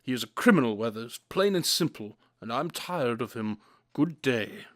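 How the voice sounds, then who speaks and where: A man acts out a condescending, weary detective in a modern radio drama. His voice is medium in pitch, precise and deadpan. The sound is dry and close. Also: normal speed, dry recording, faint music behind, close to an everyday voice. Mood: weary, cold. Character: aloof, weary, condescending brilliant male detective.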